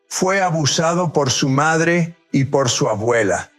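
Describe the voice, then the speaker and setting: A male host speaks very slowly and deliberately over soft music. He introduces the guest in a dramatic, scripted way. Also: a little high, lively intonation. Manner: slow, dramatic delivery.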